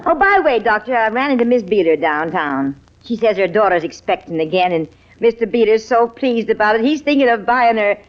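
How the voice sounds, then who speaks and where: An actress with an American accent playing a plain-spoken, folksy housekeeper in an old-time radio family comedy. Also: female voice, mid-range voice, expressive, brisk, few pauses, natural voice. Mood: chatty. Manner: folksy, frank. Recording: muffled old radio broadcast recording.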